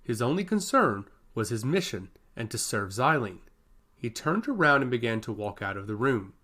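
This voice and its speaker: Expressive man narrating a fantasy story. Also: medium pitch, moderate pace.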